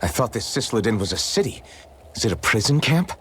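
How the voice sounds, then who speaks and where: Low-voiced, earnest young hero. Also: male voice, very animated, brisk, fairly bright tone. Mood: puzzled. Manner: inquisitive, straightforward. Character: earnest, curious young male adventurer hero. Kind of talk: video game voice acting.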